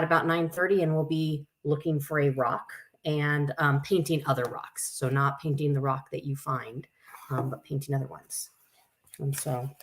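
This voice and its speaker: A woman's voice: sits low, slight background noise. A woman hosting a live story time for children, reading aloud with informal, chatty asides. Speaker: camp staff story time host.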